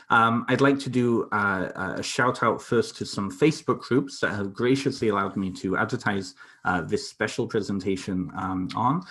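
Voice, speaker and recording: A young British man from North Wales, a counsellor giving an online presentation, talking with many fillers and restarts. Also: fairly low pitch. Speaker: counsellor presenting a folklore talk. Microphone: computer mic on a video call.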